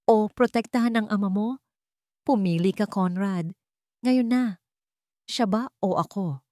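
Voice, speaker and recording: A woman's voice: medium pitch, a little slow, dark tone, dry recording, studio quality, voice pushed up, natural voice. A woman voicing a betrayed young fiancée, wounded and resolute, speaking emotionally in an audio love drama. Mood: bitter anger. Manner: emotional, confrontational.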